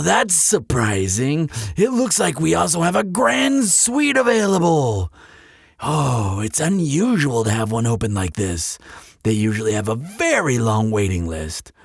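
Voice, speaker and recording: A man's voice: medium pitch, creaky, husky, dry recording, studio quality, exaggerated voice. A man voicing a courteous, eager demon hotel receptionist in an audio roleplay, speaking in polished, salesmanlike customer-service patter. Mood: impressed, coaxing. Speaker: hotel concierge character. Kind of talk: scripted audio roleplay addressed to the listener.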